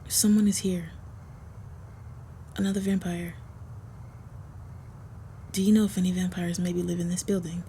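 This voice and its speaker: Woman playing a soft-spoken, low-voiced protective female vampire. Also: level tone, measured pace, pauses often, a little hiss or hum. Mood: concerned, protective. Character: protective, slightly tsundere female vampire, softly smitten. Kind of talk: intimate roleplay audio.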